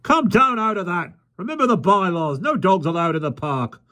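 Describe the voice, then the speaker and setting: A man voicing a fussy, panicky park keeper who keeps citing the rules, in a high shrieking voice. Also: animated, moderate pace, bright, forceful tone. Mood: indignant. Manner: shrieking, high-strung, officious.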